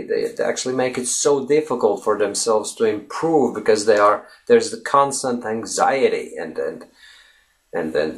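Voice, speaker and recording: A man's voice: fairly low pitch, gravelly. A young man whose first language is Russian, giving an unscripted English lesson to camera, talking earnestly with lots of fillers and restarts. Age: young adult. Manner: earnest and animated, many fillers and restarts, gestures constantly. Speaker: English teaching vlogger. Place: indoors in front of a green screen.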